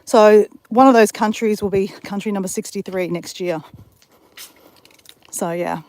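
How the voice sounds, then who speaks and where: A woman's voice: medium pitch, measured pace, darkish tone. An Australian woman chatting casually to her channel while out walking, rambling with fillers and restarts. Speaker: vlogger. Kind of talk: casual walking vlog chat. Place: outdoors on a walk.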